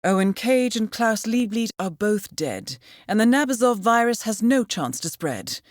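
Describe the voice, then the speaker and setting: An actress playing a composed, dryly witty intelligence handler in a video game, with a formal, precise, understated way of briefing. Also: female voice, fairly high pitch, big pitch swings, unhurried, no room sound, studio quality. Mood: businesslike.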